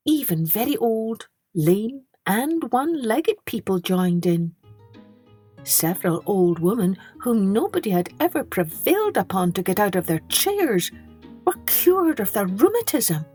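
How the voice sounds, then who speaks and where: A woman's voice: medium pitch, darkish tone. A woman reading a children's story as a podcast storyteller, expressively, with dramatic emphasis on key words.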